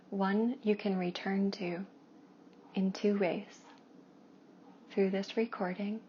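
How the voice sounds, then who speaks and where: A woman speaks as a meditation guide at a slow, unhurried pace. Her pitch stays even, and her soft phrases trail toward a whisper between long pauses. The recording is a little dull, with faint noise behind her. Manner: hushed, soothing, drawn-out phrases with long pauses. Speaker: meditation guide and Reiki practitioner.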